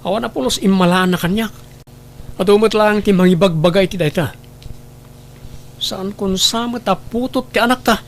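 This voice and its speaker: A man's voice: high-pitched, moderate pace, long pauses, slight background noise. A man playing a suspicious, wounded husband with an insistent, accusing manner in a melodramatic audio drama. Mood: troubled, suspicious. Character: suspicious, wounded husband doubting his child's paternity.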